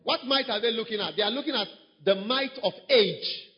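A man's voice: sits high, a little fast, slightly rough. A man pastor preaching a sermon, emphatic and repetitive, with sudden surges in volume. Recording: long hall reverb, dull sound.

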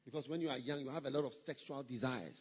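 A man's voice: medium pitch, fast talker, husky. A man pastor preaching a sermon, emphatic and repetitive, with sudden surges in volume. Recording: long hall reverb, dull sound.

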